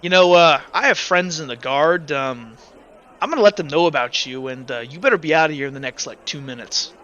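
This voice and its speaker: A man voicing a stern, protective father in a tabletop game, speaking with firm, deliberate, menacing politeness. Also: fairly high pitch, sharp tone, muffled recording. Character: stern protective father, quietly threatening, not easily cheated.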